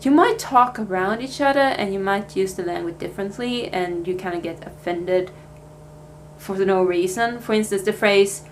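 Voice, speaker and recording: A woman's voice: mid-range voice, moderate pace, a little hiss or hum. A young Swedish woman with a Swedish accent, chatting casually in a life update vlog, with plenty of fillers and restarts. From Sweden. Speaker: vlogger.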